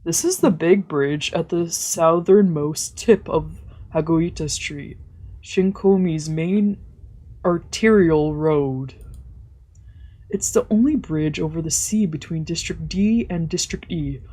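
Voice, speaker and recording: A woman's voice: low-pitched, slow pace, dark tone. A woman streamer casually reading a visual novel aloud and commenting as she plays. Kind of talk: casual let's play with narration.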